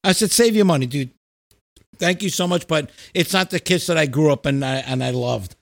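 A man's voice: fairly high pitch, brisk, gravelly, no room sound, clean studio sound. A middle-aged man hosting a casual chat podcast, talking in a blunt, emphatic, opinionated way with plenty of swearing. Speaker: podcast host.

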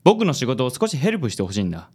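A man playing a suave, vain handsome company president in a Japanese radio comedy, smooth and affected, dropping in English words. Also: medium pitch, wildly swooping pitch, rapid-fire, a little mellow. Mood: breezy. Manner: smooth, self-assured, affected. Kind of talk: Japanese comic radio drama acting.

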